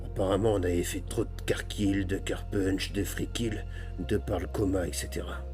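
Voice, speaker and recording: A low-pitched, pompous old priest, played by a man in comic game roleplay. He is pious and absurdly formal, narrating with mock solemnity while music plays behind him. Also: steady tone, normal speed, lightly acted. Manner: mock-solemn, bureaucratic turns of phrase. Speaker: gaming roleplay streamer.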